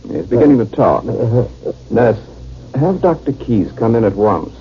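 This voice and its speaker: A man in a 1950s radio drama, playing a calm, commanding military surgeon who gives clipped instructions. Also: mid-range voice, unhurried. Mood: calm, attentive. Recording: muffled, noisy 1950s radio recording.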